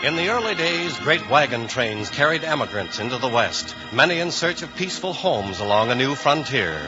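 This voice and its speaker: A man's voice: medium pitch, animated, normal speed. A man giving bold, dramatic scripted narration as the announcer of an old Western radio show. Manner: bold, declamatory. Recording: dull old radio recording, music behind.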